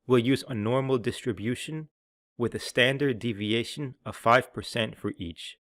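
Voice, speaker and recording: A man reads scripted instructional narration as the presenter of a technical tutorial. His pitch sits in the middle of the male range, and he explains things in an orderly way. The sound is dry, with no room echo. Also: moderate pace, fairly bright tone.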